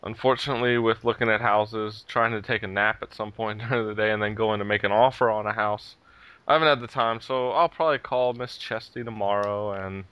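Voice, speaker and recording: A man's voice: fairly low pitch, steady tone, a little fast, a bit bright, clean tone, no echo. A man hosting a casual gaming podcast, chatting easily and chuckling between remarks. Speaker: podcast host.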